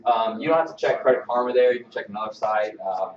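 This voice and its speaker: Halting male trainer in a roomy space. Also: lowish voice, a little hiss or hum. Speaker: mortgage loan officer giving staff training. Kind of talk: informal presentation of email templates. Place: indoor training room with projection screen. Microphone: far camera microphone. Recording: distant, roomy, slightly dull mic.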